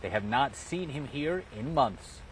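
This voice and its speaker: A man's voice: mid-range voice, expressive. Young male TV reporter, standup outdoors, somewhat distant mic, light street noise. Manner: measured, clear news delivery. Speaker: news reporter. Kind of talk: news report. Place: outdoors on a residential street in rain, under an umbrella. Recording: outdoor field recording from a distance, with faint noise.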